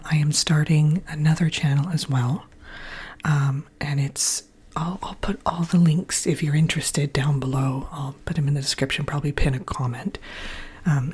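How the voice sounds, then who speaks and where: Whispering congested woman, low and husky. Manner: soft unvoiced whisper with frequent pauses and fillers. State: congested and croaky from allergies. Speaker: ASMR channel host. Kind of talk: whispered ASMR update chat.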